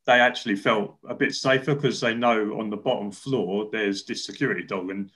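A man's voice: fairly low pitch, moderate pace, a bit bright. A young man hosting an interview over a video call, talking in a chatty, rambling way with frequent restarts. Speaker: interview host. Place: living room indoors. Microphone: webcam on video call.

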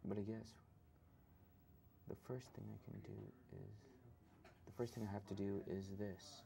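Gravelly young man musing over a chess move. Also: lowish voice, level tone, a little slow, noisy background. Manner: musing aloud, mumbling to himself while thinking. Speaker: show host playing chess. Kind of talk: casual live chat over a chess game. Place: dark TV studio.

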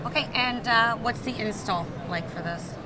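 A woman in her thirties interviewing a guest on a trade show floor with a handheld mic, brisk and chirpy, with plenty of quick agreement. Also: fairly high pitch, heavy background noise. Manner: brisk, chirpy, lots of backchannel. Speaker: interviewer and media director. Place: busy indoor expo hall.

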